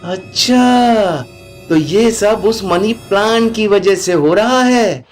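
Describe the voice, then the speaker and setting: Man playing a lazy, jealous, high-voiced village neighbour. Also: slow pace, faint music behind. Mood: envious.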